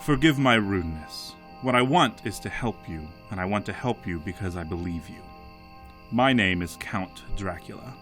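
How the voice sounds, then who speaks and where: An actor playing a courteous, formal ancient vampire count in an audio drama, speaking in measured phrases. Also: male voice, sits low, animated, a bit bright, faint music behind. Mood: courteous, composed.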